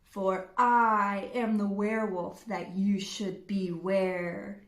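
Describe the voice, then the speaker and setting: A woman's voice: medium pitch, little pitch movement, slow pace, roomy sound, voice pushed down. A woman voicing a plucky, confident fairy-tale girl in a children's storybook. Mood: bold, defiant. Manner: confident, nonchalant, bold. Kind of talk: children's storybook read-aloud.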